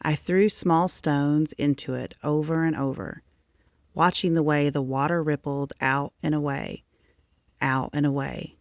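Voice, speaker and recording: A woman narrates a children's picture book in a low voice. She takes her time, with measured, expressive phrasing, and the sound is close and dry. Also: dry recording. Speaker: storybook reader. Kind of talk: children's read-aloud narration.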